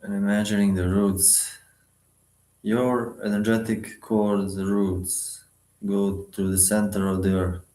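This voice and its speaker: Man, meditation guide, very slow delivery, short spaced-out phrases, roomy echoing sound. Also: fairly low pitch, level tone, warm tone. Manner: sparse short phrases with long pauses. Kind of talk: guided meditation, spoken instructions.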